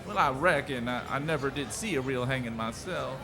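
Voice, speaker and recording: A man's voice: mid-range voice, a little fast, crowd noise, close to an everyday voice. A man playing a scrawny, naive drifter in a western radio drama, with a hesitant rustic drawl. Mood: naive, unsure. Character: scrawny, simple-minded, meek male drifter, ex-Union soldier. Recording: live stage with audience noise.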